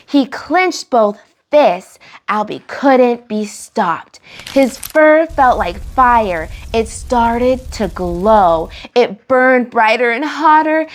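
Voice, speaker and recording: A woman reading a picture book aloud for children, with animated, dramatic delivery and drawn-out emphasis on key words. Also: sits high. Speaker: children's storytime reader and host. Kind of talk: expressive picture-book read-aloud for kids.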